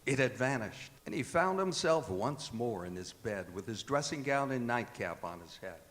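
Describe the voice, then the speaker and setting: A man playing the narrator of a Victorian Christmas radio drama, with measured, dramatic delivery. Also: mid-range voice, expressive, close to an everyday voice. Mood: storytelling. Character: storyteller of a Victorian Christmas tale. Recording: loud music under the voice.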